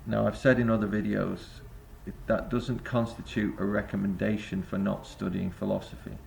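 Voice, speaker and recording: A man's voice: on the low side, level tone, normal speed, vocal fry, darkish tone, slight background noise. A man giving a reflective spoken essay to camera as a vlogger, rambling and hesitant with many filler sounds. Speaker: vlogger and busking singer.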